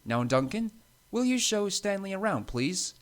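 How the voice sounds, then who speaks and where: A man's voice: high-pitched, very animated, a little slow, voice pushed up. A man voicing a firm but kindly railway controller who speaks formally, in a children's story. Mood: brisk, polite. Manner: formal, authoritative.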